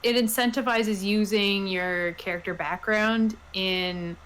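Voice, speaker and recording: A woman's voice: medium pitch, steady tone, slow pace, fairly bright tone, smooth, rough recording. A woman talking casually as a player at a tabletop roleplaying game. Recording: faint noise on home microphone.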